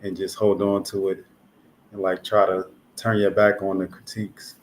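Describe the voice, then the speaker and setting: A thoughtful man in his thirties from North New Jersey talks through his ideas in a steady, reflective monologue. His voice sits low and stays fairly even in pitch, with the occasional filler as he gathers his thoughts. A faint hum of noise lies underneath. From North New Jersey, USA. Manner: measured, thoughtful, musing aloud with fillers. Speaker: artist explaining his own concept.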